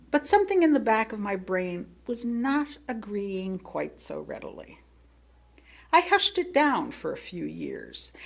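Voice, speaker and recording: A woman reading a prepared sermon as a lay speaker, in a reflective manner with rhetorical questions and deliberate pauses. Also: mid-range voice, vocal fry. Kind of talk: sermon read from a prepared text.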